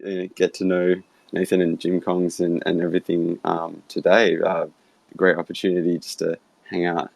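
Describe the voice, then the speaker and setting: A man's voice: low-pitched, completely flat delivery, normal speed, creaky, darkish tone, no room sound. An Australian man from Sydney hosting an online audio room, chatty and easygoing, laughing easily. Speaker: host. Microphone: online voice call.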